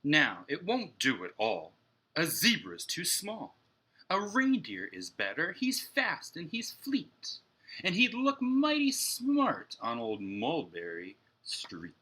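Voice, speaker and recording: Man reading as an animated, eager storybook boy. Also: fairly high pitch, slow, breathy edge, pitched above the performer's own voice, heavily acted. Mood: eager, inventive. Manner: dramatic, emphatic, sing-song rhyme. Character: imaginative boy narrator, eager, boastful storybook dreamer. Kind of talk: animated children's storybook read-aloud.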